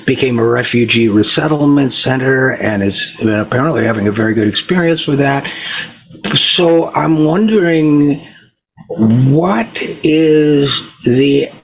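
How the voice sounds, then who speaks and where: A man's voice: medium pitch, lively intonation, unhurried, vocal fry, husky, poor audio. A man with an American accent hosting a talk interview in a conversational, discursive way, with hesitant asides. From United States, Utica, New York. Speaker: talk show host. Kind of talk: conversational interview with long setup question.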